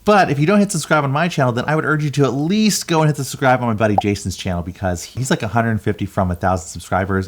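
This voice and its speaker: A man with a notably low voice hosts a casual creator vlog. He explains things in a conversational, walkthrough manner, as if guiding viewers through his process. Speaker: video creator and channel host.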